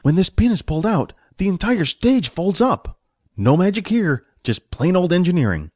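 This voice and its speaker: A man's voice: high-pitched, big pitch swings, moderate pace, darkish tone, no room sound, studio quality, pitched above the performer's own voice. A man voicing a cheerful, proud male general manager in an audiobook, chatty and eager to explain. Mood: proud, excited. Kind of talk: audiobook character voice.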